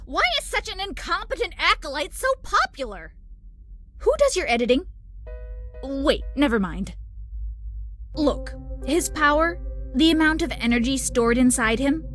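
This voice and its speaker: High-pitched, dramatic villainess Kiki. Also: female voice. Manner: exasperated, theatrical, swearing. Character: Kiki, sardonic, dramatic, gun-toting female villain rival. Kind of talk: visual novel game voice acting.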